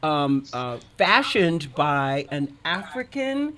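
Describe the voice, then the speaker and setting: An older woman, a singer, chatting and telling stories in an animated way as an interview guest. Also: sits low, takes it slowly. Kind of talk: casual interview chat.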